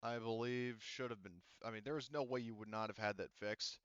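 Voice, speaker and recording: A man's voice: lowish voice, steady tone, fairly quick, smooth, no room sound, highs cut off. A man working as a game master, narrating and explaining a tabletop roleplaying game in a rambling, informal way.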